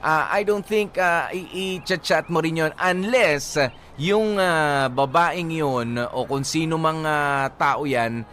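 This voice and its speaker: A man's voice: fairly high pitch, takes it slowly, a bit bright, slight background noise. A middle-aged man with a Filipino accent chats casually as a radio host, somewhat hesitant and defensive in debate.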